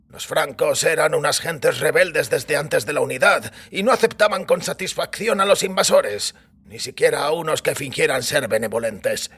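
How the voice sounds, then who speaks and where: An actor playing a weary older priest who recounts a wild youth in a wry, reflective way, with a raspy voice. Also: male voice, on the high side, fairly bright tone, heavily acted. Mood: narrating earnestly. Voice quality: raspy, gravelly. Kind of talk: dramatised audiobook acting. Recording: music bed under the voice.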